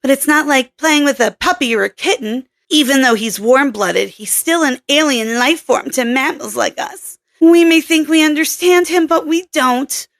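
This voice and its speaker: Woman reading as a guarded lady scientist in a story, high voice, explains stuff plainly and earnestly. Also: normal speed, few pauses. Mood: earnest, wary. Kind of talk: audiobook character dialogue.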